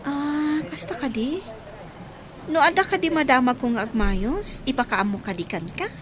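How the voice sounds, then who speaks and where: A high, lively voice of a curious village woman in a radio play. She is chatty, eager and inquisitive, with busy crowd sound behind her. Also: normal speed. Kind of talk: Ilocano radio drama acting.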